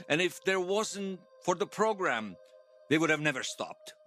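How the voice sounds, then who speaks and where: An earnest veteran believer in a cause, telling his story in a fiction podcast. His voice sits fairly high for a man and swings expressively, with emphatic, conversational delivery over music. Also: pushed and bright, heavily acted. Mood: impassioned, bitter. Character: earnest male veteran loyalist recounting an uprising, fervent believer. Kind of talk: fiction podcast monologue told as interview testimony.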